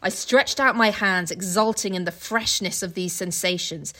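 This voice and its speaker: Female podcast co-host, mid-pitched, reads aloud and comments irreverently, sweary and mocking. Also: moderate pace, sharp tone. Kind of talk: casual book podcast, reading aloud with joking commentary.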